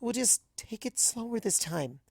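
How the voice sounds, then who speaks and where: A woman voicing a meek, heartbroken young female pony in a storybook reading, earnest, apologetic and halting in her speech. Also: fairly high pitch, expressive, gravelly, a little mellow, dry recording, clean studio sound, voice pushed up. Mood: earnest, hopeful. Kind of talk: dramatised fan fiction reading.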